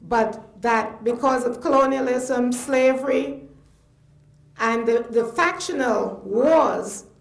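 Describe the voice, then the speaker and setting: Older Trinidadian woman, higher voice, echoey room. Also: slow, creaky. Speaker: guest lecturer. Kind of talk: spontaneous public lecture commentary.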